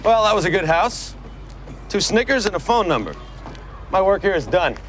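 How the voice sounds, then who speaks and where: Flirtatious cocky man, swooping high delivery, TV sitcom. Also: very animated, moderate pace, a little hiss or hum, rough recording. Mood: smug. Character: cocky, flirtatious bachelor uncle. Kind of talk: sitcom acting. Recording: loud studio audience behind.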